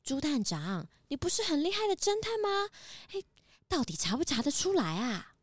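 A woman playing a tactless, excitable, easily frightened passenger who exclaims and stumbles in a children's audio drama. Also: high-pitched, normal speed, no room sound. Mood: doubting.

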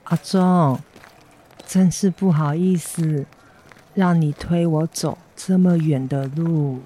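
A woman with a Taiwanese accent playing a gentle, apologetic mother recovering from surgery, speaking tenderly in an educational radio drama. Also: low voice, fairly even intonation, takes it slowly, breathy edge, exaggerated voice. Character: gentle, apologetic convalescing mother of a teenage boy. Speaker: amateur voice actor, schoolteacher.

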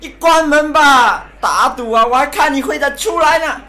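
A woman's voice: sits high, moderate pace, crowd noise, close to an everyday voice. A woman playing a scornful, mocking onlooker who jeers and exclaims in a dramatized Bible story. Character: jeering, scornful onlooker in a crowd mocking Noah.

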